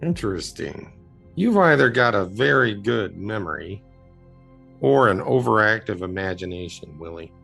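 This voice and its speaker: An actor playing a skeptical, plain-spoken American man in a radio drama, blunt and doubting, with a gravelly, husky voice. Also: lowish voice, expressive, moderate pace, fairly bright tone, faint music behind, full character voice.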